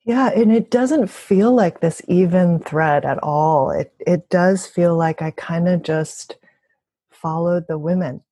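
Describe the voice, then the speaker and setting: Woman, interview guest, thoughtful conversational delivery, low pitch, dry close sound. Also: takes it slowly, warm tone, breathy edge, no room sound. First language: bilingual, also speaks Mandarin. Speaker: interviewee, labor organizer and activist. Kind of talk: reflective conversational interview answers.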